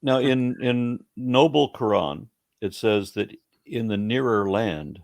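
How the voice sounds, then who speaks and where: Male interview host, somewhat low voice, conversational, thinks aloud with repeated restarts. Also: slow, creaky, a little mellow.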